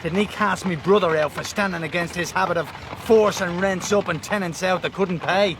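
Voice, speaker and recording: An actor playing a chatty, deferential Irish servant and driver with an Irish accent, talking colourfully in a radio drama. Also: male voice, sits high, normal speed. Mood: bitter, gossipy. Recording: noisy outdoor vehicle sound effects.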